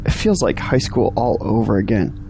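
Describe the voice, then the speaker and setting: A man's voice: on the low side, animated, moderate pace, gravelly, mellow, a little hiss or hum. A man playing an earnest, discouraged young graduate in an audio drama, complaining about his troubles. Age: young adult.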